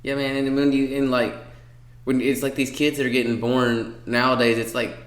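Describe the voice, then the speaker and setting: A man's voice: mid-range voice, little pitch movement, moderate pace, roomy sound, poor audio. A young man co-hosting a casual podcast, rambling with lots of fillers and restarts. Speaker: podcast co-host. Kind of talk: casual chat.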